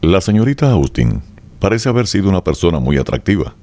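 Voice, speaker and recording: A man delivering scripted biographical radio narration in Spanish, measured and expressive, like a storyteller. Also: low-pitched. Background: faint music.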